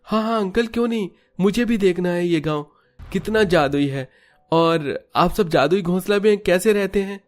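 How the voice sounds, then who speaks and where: A man's voice: sits high, moderate pace, soft background music, lightly acted. A man voicing an astonished, curious young village visitor in a Hindi cartoon story, exclaiming and questioning in wonder. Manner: exclamatory, wide-eyed questioning.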